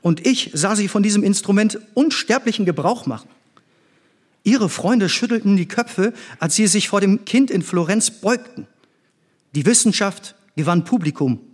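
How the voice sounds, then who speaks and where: An actor playing an earnest middle-aged scientist and former pupil in a stage drama, intense and theatrical. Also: male voice, high-pitched, animated, normal speed, husky. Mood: fervent, admiring.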